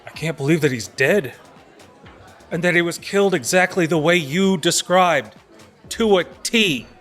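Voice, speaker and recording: A woman's voice: on the low side, expressive, a little slow, soft background music. A woman playing an evasive, dry-witted young lawyer in an audio drama. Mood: stunned. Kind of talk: audio theatre acting.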